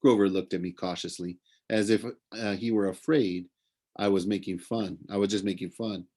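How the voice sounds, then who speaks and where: A man's voice: low-pitched, fairly even intonation, moderate pace, darkish tone. A man narrating a storybook aloud for a classroom, sometimes stumbling and correcting himself. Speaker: reader of a classroom audiobook.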